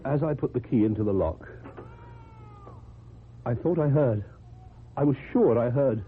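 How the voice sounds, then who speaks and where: An actor playing an agitated, stammering husband whose wife has vanished, in a radio drama. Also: male voice, medium pitch, expressive, measured pace, close to an everyday voice. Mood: shaken, subdued. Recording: muffled, phone-like old radio recording, hissy.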